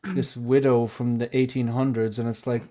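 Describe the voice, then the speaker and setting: A man's voice: mid-range voice, flat delivery, normal speed, mellow. Rambling Irish man, casual and swearing. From Ireland. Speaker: co-host. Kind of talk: casual livestream chat.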